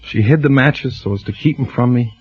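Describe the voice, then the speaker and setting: An actor playing a haunted man confessing his obsession with fires, in soft, weary 1940s radio acting. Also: mid-range voice, a little fast, lightly acted. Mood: weary, remorseful. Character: haunted male firebug, quietly confessing, fire-obsessed. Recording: muffled old radio broadcast with music.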